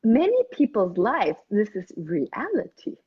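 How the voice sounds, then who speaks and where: A woman from Sweden, hosting a casual chat and talking in a lively way. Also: mid-range voice, unhurried, slightly rough, lo-fi sound. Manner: chatty, lively, often affirming with yeahs and mms. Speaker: host. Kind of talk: casual conversation.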